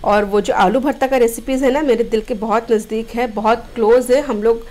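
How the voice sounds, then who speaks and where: Woman, from Odisha, cooking channel host, slightly high voice, friendly step-by-step voice-over. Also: fairly even intonation, moderate pace, a little mellow. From Odisha, India. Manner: friendly step-by-step instructions, mixing English words. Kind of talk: recipe voice-over narration.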